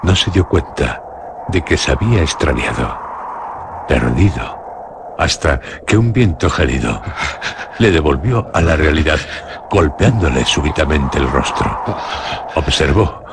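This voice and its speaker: A man narrating a scripted radio horror drama in a solemn, ominous, measured manner. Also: extremely low pitch, lively intonation, creaky, background noise.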